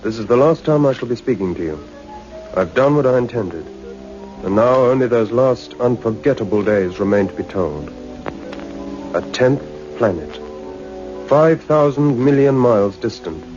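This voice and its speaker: An actor playing a portentous male narrator of a sci-fi radio serial, solemn, measured and suspenseful. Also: medium pitch, lively intonation, close to an everyday voice. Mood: ominous, solemn. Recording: muffled radio recording with music behind.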